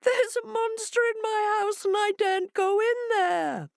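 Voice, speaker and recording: A woman voicing a frightened, tearful female hare who wails in a high, trembling voice. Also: unhurried, gravelly, no echo, studio quality, exaggerated voice. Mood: wailing, frightened.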